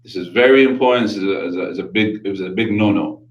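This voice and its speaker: A man's voice: on the low side, fast, reverberant, dull audio. A man with a New York accent, raised in Brooklyn, hosting a casual religious talk show and explaining his faith earnestly with many Arabic religious phrases. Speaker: radio show host.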